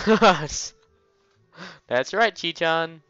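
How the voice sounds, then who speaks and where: A man voicing a loud, bubbly, excitable schoolgirl from a visual novel, with giddy exclamations. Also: on the high side, very slow, smooth, muffled recording, pitched above the performer's own voice, full character voice. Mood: bubbly, eager.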